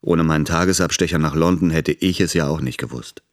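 An actor playing a calculating, self-important man of influence, delivering a measured dramatic monologue. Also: very deep voice. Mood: controlled, calculating.

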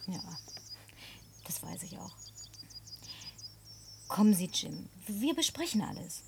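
An actress dubbing a woman who presses her companion with quiet, insistent questions. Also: mid-range voice, sing-song, slow, gravelly, a little hiss or hum, exaggerated voice. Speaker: lead character in a dubbed film. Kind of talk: dubbed film acting.

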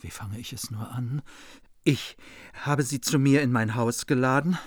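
Schauspieler als zerrissener Markgraf, verzweifelt, trockene Studioaufnahme. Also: male voice, medium pitch, measured pace, dry recording, close to an everyday voice. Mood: anguished. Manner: anguished, pleading. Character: torn noble margrave, anguished by conflicting oaths. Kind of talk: radio play acting. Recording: dry studio.

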